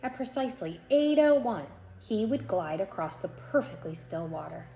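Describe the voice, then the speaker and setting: A woman reading a children's picture book aloud as an expressive storyteller, with deliberate, dramatic phrasing. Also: medium pitch. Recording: echoey room with faint noise.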